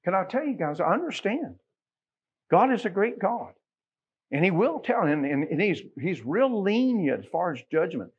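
A middle-aged man with an American accent preaching a sermon in a folksy, conversational way, leaning on emphatic rhetorical questions, with a husky voice. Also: high-pitched, sing-song, normal speed, lo-fi sound. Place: church sanctuary, at a pulpit.

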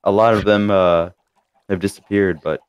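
A man's voice: low voice, fairly even intonation, moderate pace, smooth, lo-fi sound. A young man role-playing a self-declared ruler in voice chat, theatrical and mock-grand. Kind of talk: playful role-play in voice chat.

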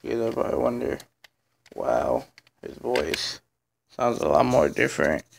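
A young man with a hoarse, sick morning voice, rambling casually to viewers in a phone vlog from his bedroom. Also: mid-range voice, very animated, a little slow. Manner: rambling, filler-heavy, addresses viewers as guys. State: hoarse from being sick and just woken up. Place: dim bedroom at home, indoors. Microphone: phone held in hand.

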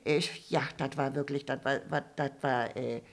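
A woman's voice: rumbling low, fairly even intonation, moderate pace, voice pushed down. Woman doing a stammering, rambling puppet woman. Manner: stammering, full of fillers and restarts. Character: rambling, gossipy, slightly raunchy old female puppet. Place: dark theatre stage.

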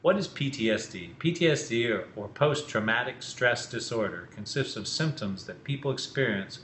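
A man's voice: mid-range voice, animated, moderate pace, fairly bright tone. An older man presenting an educational explainer in a small office. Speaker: presenter, mental health educator. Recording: roomy echo with faint noise.

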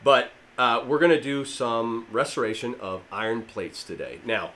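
A man in his thirties with a slightly raspy voice, casually explaining a DIY project to camera in his home garage gym, with plenty of fillers. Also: mid-range voice, moderate pace, a bit bright, a little hiss or hum. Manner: rambling, filler-heavy, friendly explainer. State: allergies. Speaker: fitness DIY channel host. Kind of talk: casual how-to talk to camera.

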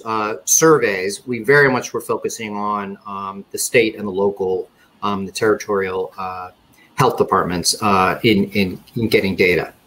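A man's voice: mid-range voice, fairly bright tone, low-quality recording. Middle-aged man presenting over video call. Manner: measured, with frequent fillers and restarts. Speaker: conference panelist presenting survey results. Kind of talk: webinar presentation. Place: indoor office or home room.

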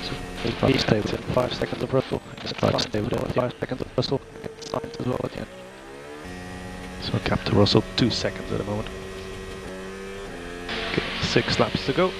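A man's voice: medium pitch, steady tone, normal speed, gravelly, long pauses. A male race engineer giving clipped, matter-of-fact instructions to a driver over team radio, repeating key phrases. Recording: noisy team radio with car sounds.

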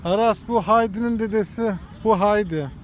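A father filming his small son in an outdoor park, gently coaxing him and pointing things out. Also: sits high, normal speed, slight background noise. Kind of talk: casual family vlog. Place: outdoor park with cartoon statues.

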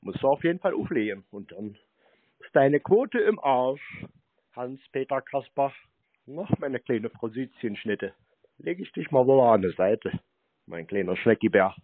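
A man's voice: medium pitch, big pitch swings, a little slow, dry recording. A man making a teasing prank phone call, mocking and crude, tossing out cheeky pet names. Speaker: scambaiting prank caller and show host. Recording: dry, band-limited phone-call recording.

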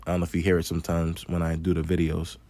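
A man's voice: deep voice, monotone, fast talker, mellow, no echo. A man with a slight lisp teaching a math lesson over a tablet screen, explaining patiently step by step. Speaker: math instructor.

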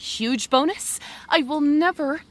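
A woman's voice: high voice, slow. A woman playing the chatty, anxious inner voice of an undead young woman, confiding in the listener in a rambling audio drama monologue. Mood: excited, triumphant.

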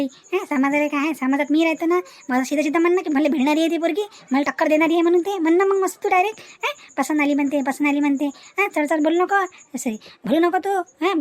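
A woman's voice: high voice, dry recording, close to an everyday voice. A woman voicing an angry, nagging village mother in a cartoon comedy, taunting and repeating herself in a Vidarbha Maharashtrian dialect. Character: angry, scornful Marathi mother and meddling village aunt. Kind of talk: comic family drama cartoon dubbing.

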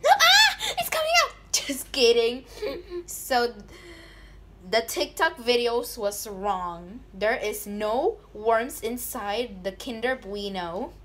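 A woman's voice: fairly high pitch, very animated, takes it slowly, a bit bright, a little hiss or hum. A woman unboxing candy on camera in a casual vlog, joking and pretending to be scared. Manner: playful, mock-scared squeals and jokes. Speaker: vlogger. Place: indoors at a table. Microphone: phone camera held over a table.

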